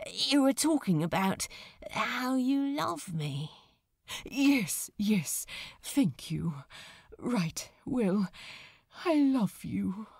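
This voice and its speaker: A woman voicing an earnest, tearful male angel confessing love in a halting, fervent way, as in an audiobook. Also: mid-range voice, very animated, creaky, slightly rough, dry recording, studio quality, full character voice. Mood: flustered, earnest. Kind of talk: emotional audiobook character dialogue.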